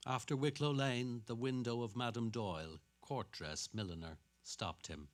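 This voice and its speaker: A man's voice: mid-range voice, normal speed, soft background music. A man narrating literary fiction as a dramatic reading.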